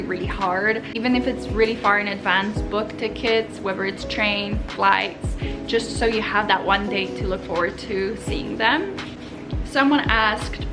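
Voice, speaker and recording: A woman whose first language is Polish, running her own clothing brand, answers viewer questions in an earnest, upbeat vlog voice. Her voice sits at a middle pitch. Background music plays clearly beneath her talk. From Poland. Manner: earnest, upbeat advice-giving. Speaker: vlogger and clothing brand founder.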